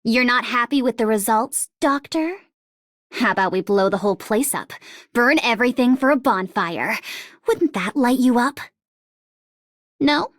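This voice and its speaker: A woman's voice: fairly high pitch, lively intonation, unhurried, pauses often, a bit bright, no echo. Cocky merc lady from a video game, taunting n sardonic, takes her time, super dry close sound. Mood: playful, trigger-happy. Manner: taunting, sardonic, theatrical, with scoffs and sighs. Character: cocky, vengeful, mischievous female mercenary captain who loves explosives. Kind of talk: English video game character voice lines.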